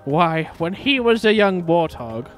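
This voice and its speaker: A man voicing a wisecracking, excitable meerkat in a dramatic fan fiction reading, huffy and showy. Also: sits high, measured pace, faint music behind, pitched above the performer's own voice. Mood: upbeat. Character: wisecracking, excitable male meerkat sidekick, brash and cheery.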